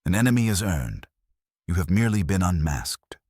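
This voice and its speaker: A man acts out an imperious, heavyset genius detective in a mystery audiobook. The voice is extremely low and husky, pronouncing formal judgments with pompous precision and swinging emphasis. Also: very animated, moderate pace, darkish tone, no echo, studio quality. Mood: cool, imperious.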